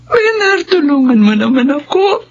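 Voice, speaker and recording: A betrayed Filipino wife and mother in middle age. Her radio-drama delivery moves through a very wide pitch range, and the recording is a little dull. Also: very animated, moderate pace, slight background noise. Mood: distraught.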